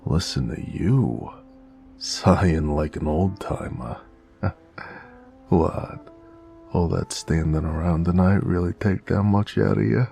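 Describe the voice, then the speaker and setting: A man's voice: slow pace, pauses often, dark tone, soft background music, exaggerated voice. A man playing a weary, teasing, affectionate noir mobster in an intimate romance audio roleplay, murmuring and sighing in old-time gangster slang. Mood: amused teasing. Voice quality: very deep, gravelly, breathy murmurs.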